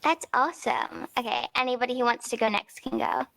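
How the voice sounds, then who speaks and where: A woman's voice: a little high, lively intonation, a little fast, a bit bright, slight background noise, rough recording. A fourteen-year-old girl, a student co-host, moderating a panel in an upbeat, polite way. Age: teens.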